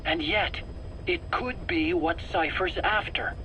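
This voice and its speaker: A man's voice: fairly high pitch, takes it slowly, pushed and bright. An actor playing a nervous, self-justifying male scientist in a video game interrogation, stammering and earnest. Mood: earnest, intrigued. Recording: noisy cassette tape interrogation recording.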